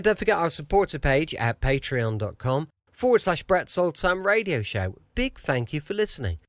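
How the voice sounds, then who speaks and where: Man, podcast host, casual, chatty, lively intonation, close dry home recording. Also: fairly high pitch, no room sound. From United Kingdom.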